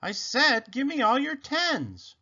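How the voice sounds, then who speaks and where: A man voicing an excitable, fussy rabbit who panics easily, whiny and dramatic, for a children's storybook. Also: very high-pitched, normal speed, dull audio, pitched above the performer's own voice, heavily acted. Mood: impatient.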